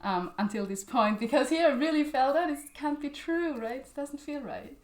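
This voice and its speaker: A woman's voice: high voice, moderate pace, roomy sound. A young woman from Austria whose first language is German, a guest in an interview, talking with many fillers and restarts and laughing often. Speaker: interview guest, journal founder. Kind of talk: conversational interview.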